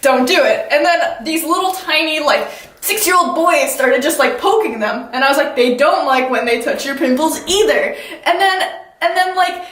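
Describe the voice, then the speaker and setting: A woman's voice: high-pitched, normal speed, fairly bright tone. A young woman speaking casually in a presentation about tutoring, chatty and full of "like". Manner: chatty, lots of "like". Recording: echoey room, voice from a distance.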